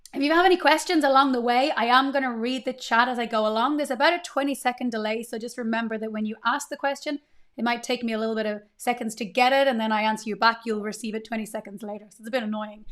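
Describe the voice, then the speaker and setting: Woman, thirties, fairly high voice, chatty live coding host, slightly strained recovering voice. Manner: chatty, upbeat, greets chat viewers. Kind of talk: casual live coding stream.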